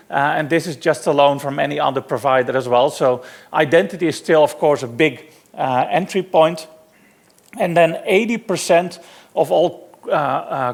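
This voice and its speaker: A middle-aged man with a Dutch accent presents a technical talk at a conference, easygoing and a bit self-deprecating, dropping plenty of "uh"s. His voice sits somewhat high for a man and has a gravelly, fry-laden edge. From Netherlands. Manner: relaxed, self-deprecating presenter with frequent fillers. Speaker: conference speaker. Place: conference hall.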